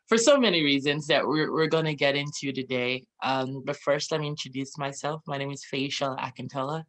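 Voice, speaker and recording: A man's voice: on the high side, moderate pace, fairly bright tone. A man hosting an interview, chatty and informal, with many ums and "right?" tag questions. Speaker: host. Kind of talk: friendly interview introduction.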